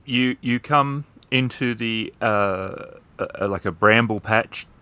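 A man improvising roleplay narration in a hesitant, halting way. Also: fairly low pitch, bright, forceful tone, smooth.

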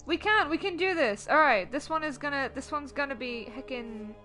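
Excitable young woman gaming with friends. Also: high voice, normal speed, soft background music. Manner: excitable, sweary, playful. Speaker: gamer on voice chat. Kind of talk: casual gaming banter.

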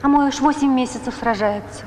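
An actress playing an eager Greek soldier's wife, fervent for peace, with theatrical film delivery. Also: female voice, high-pitched, fairly quick, darkish tone, close to an everyday voice. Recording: reverberant hall, background noise.

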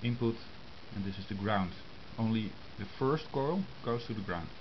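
A man giving an informal step-by-step tutorial in short, halting phrases, picked up by a camera's built-in microphone. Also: fairly low pitch, expressive. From Netherlands. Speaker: amateur radio hobbyist giving a tutorial. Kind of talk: informal step-by-step explanation of hand-drawn diagrams. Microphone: compact camera's built-in microphone. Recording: muffled camera microphone with hiss.

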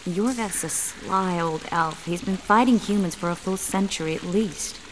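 A woman playing an earnest, caring young sorceress in a video game, speaking in a measured way. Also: fairly low pitch, slight background noise, lightly acted. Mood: worried.

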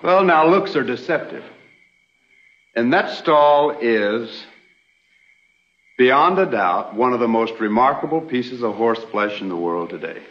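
A smooth young American man played by an actor works his pitch as a sly, genial promoter in an old TV western. His voice swings in an animated way, on a dull vintage soundtrack. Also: measured pace, pauses often, a little hiss or hum, close to an everyday voice. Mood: smooth, confident. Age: young adult. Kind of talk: 1960s TV western acting.

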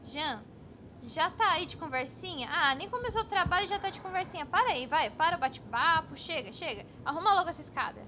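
A bright, very high-pitched young Brazilian woman played by an actress from a cartoon sketch comedy. She scolds and exclaims in exasperation, swinging widely in pitch. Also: animated, fairly quick, slight background noise, full character voice. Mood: exasperated. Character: bossy, exasperated young woman painter, scolding her friend.

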